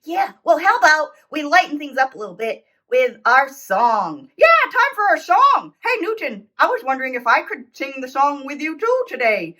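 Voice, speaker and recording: A woman puts on an eager, friendly puppet pal for a children's show. The voice is high and bright, swooping around as it chats in a childlike way. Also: big pitch swings, moderate pace, hardly any pauses, heavily acted. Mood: excited.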